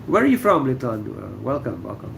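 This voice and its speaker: A middle-aged man from Indonesia hosting a relaxed livestream, answering viewer comments with lots of fillers and pauses. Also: mid-range voice, vocal fry, noisy background. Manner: relaxed, halting, many fillers. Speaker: livestream host. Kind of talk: casual livestream chat reading viewer comments. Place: room indoors.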